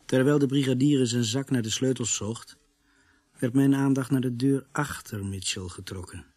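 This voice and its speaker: An actor in a Dutch radio play playing a husky first-person narrator of a crime story, measured and scripted. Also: male voice, medium pitch, a little mellow, no room sound, natural voice. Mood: measured, tense.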